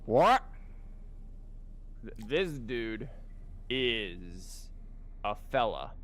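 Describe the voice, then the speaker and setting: A man's voice: on the low side, sing-song, drawn-out words, a bit bright. A man giving casual, rambling and wry commentary as he livestreams a video game. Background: game music.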